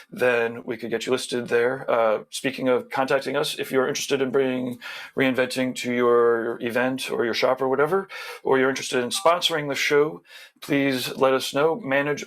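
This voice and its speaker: A man on a video call who runs the show, reading out sponsor plugs in a rambling, casual way with many restarts. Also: mid-range voice. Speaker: show organizer.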